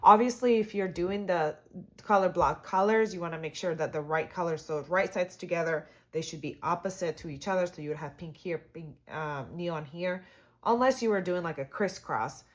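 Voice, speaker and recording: Hoarse, chatty woman sewing tutor. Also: fairly low pitch, normal speed. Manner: chatty, upbeat, lots of fillers. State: hoarse, voice cutting in and out. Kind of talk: casual how-to demonstration.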